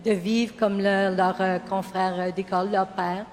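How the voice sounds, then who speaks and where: A woman's voice: medium pitch, little pitch movement, moderate pace, darkish tone. A woman speaking earnestly and hesitantly as a youth prevention worker on a panel. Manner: earnest, hesitant, many fillers. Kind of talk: panel discussion. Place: public forum in a college hall. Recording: reverberant hall, faint room noise.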